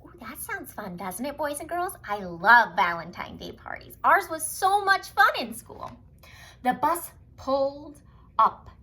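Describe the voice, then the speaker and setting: A woman reading a storybook aloud to young children in an expressive way, putting questions to her listeners and sometimes dropping into hushed asides. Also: sits high, unhurried. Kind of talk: animated children's read-aloud.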